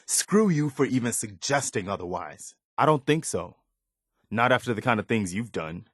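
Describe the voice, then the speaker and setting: A man's voice: lowish voice, big pitch swings, normal speed, slightly rough, no room sound, clean studio sound. A male actor voicing an earnest, weary, loyal militia soldier in a video game, speaking in a plain-spoken, folksy way. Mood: exasperated, angry. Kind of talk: dramatic video game dialogue.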